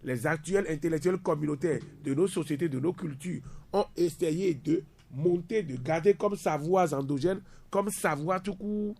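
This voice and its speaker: Gravelly emphatic man in his fifties. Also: a little high, moderate pace, hardly any pauses, darkish tone. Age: 50s. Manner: emphatic, repeats words for stress. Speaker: university lecturer guest. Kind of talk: radio discussion.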